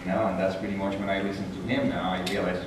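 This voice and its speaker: A man with a Puerto Rican accent giving an earnest, animated inspirational talk as a guest speaker at a church. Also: fast, noisy background. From Puerto Rico. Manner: earnest, animated, rises in pitch when stressing a point. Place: large church hall. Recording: echoey hall, taken from far off.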